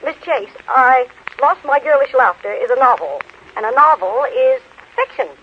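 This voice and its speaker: A woman's voice: sits high, animated, moderate pace. An American woman as a guest author on a 1930s radio show, telling anecdotes and trading banter. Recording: noisy, narrow 1930s radio recording.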